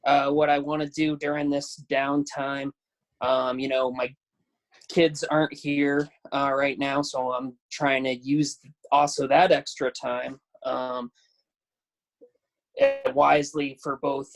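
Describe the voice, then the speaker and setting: A man's voice: mid-range voice, gravelly, poor audio. A man in his thirties, a pro wrestler talking casually on a video call, hesitant with many fillers and self-deprecating laughs. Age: 30s. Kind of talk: casual chat.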